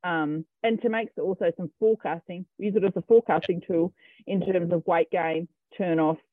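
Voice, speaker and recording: Aussie farmer guy, high-ish voice, lots of ums, sounds like he's on the phone. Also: fairly even intonation, normal speed, dry recording. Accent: Australian. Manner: hesitant, full of ums and restarts. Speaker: cattle farmer guest. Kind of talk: casual interview answers. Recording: tinny phone-line sound, dry.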